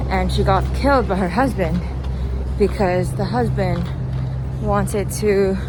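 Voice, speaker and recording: A young woman vlogging casually as she walks, hesitant and meandering, with a self-deprecating way of talking. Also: mid-range voice. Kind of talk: casual rambling walking vlog. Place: outdoors, walking at night. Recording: noisy outdoor street, traffic ambience. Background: traffic and urban noise.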